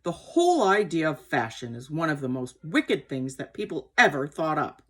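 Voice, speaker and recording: A woman voicing a gentle but indignant old male horse in a storybook, speaking in an emphatic, aggrieved and dramatic way. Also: low voice, big pitch swings, moderate pace, full character voice. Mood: outraged.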